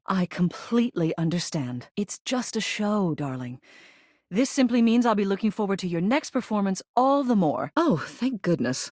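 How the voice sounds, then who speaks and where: A woman's voice: on the low side, dark tone, no room sound, clean studio sound. A woman playing a pompous, meddling neighborhood mayor in a video game, genteel and theatrical in her manner. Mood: gracious, indulgent, then relieved, stern. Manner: theatrical, pompous, genteel, addressing people as darling and dearest. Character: haughty, meddling neighborhood mayor, a living household object, grande dame. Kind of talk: video game character dialogue.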